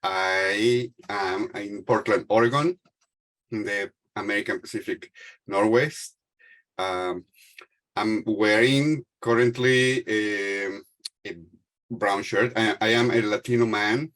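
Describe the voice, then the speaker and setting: A middle-aged man with a Mexican Spanish accent speaks in a lowish voice over a video call. He talks in a halting way, full of "uh"s and restarts. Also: fairly even intonation, a bit bright. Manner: hesitant, many fillers and restarts. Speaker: panel speaker. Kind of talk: panel talk on a video call.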